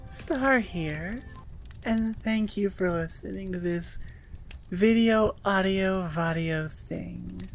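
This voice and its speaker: A woman audio creator talking casually and personally to her listeners, in an earnest, rambling way. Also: mid-range voice.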